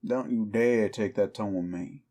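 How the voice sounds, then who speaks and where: Guy doing a brooding cowboy werewolf outlaw, lowish voice, real slow american southern or western drawl, audio roleplay. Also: dark tone. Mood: bitter, defiant. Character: male cowboy outlaw werewolf, weary, defiant, protective. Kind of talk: slow dramatic roleplay monologue to the listener.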